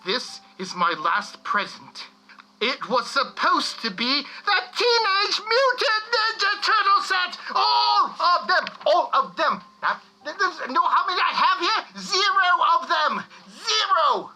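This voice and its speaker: A woman voices a whiny, spoiled little boy in a comic radio play. The voice is high and piercingly sharp, petulant and demanding, with swooping pitch. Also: very animated, takes it slowly, faint music behind, exaggerated voice, poor audio. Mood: whining outrage.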